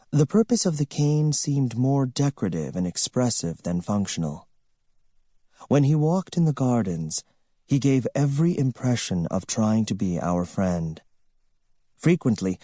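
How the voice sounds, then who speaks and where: A man narrating literary fiction from a script in a measured, expressive storytelling manner. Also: fairly low pitch, no room sound, clean studio sound. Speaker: audiobook narrator.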